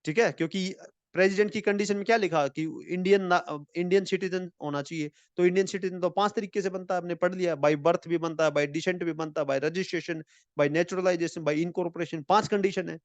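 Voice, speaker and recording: A man teaching an exam-prep lecture in a friendly way. He mixes in English and reads the English lines slowly. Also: sits high, fairly even intonation, no echo. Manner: friendly, calls viewers friends.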